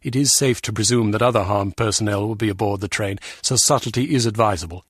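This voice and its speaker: An actor voicing a dour, critical intelligence official in a video game, with a clipped, formal manner. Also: male voice, on the low side, fast talker, breathy edge, dry recording, studio quality. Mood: businesslike. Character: dour, critical male British intelligence bureaucrat. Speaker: intelligence official giving briefings.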